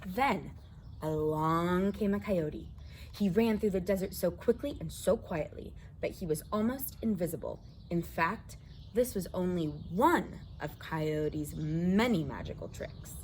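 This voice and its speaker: Animated American woman reading to children. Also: lowish voice, measured pace, slight background noise, low-quality recording. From southwestern United States desert. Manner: animated, addresses listeners directly. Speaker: children's storyteller. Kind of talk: expressive read-aloud for young children.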